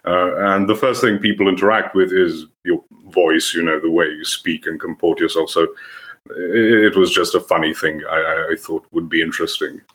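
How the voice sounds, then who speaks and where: A young man with a Serbian accent, a podcast guest who speaks in a thoughtful, halting way with frequent restarts. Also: sits low, little pitch movement, a bit bright. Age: young adult. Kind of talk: conversational podcast interview.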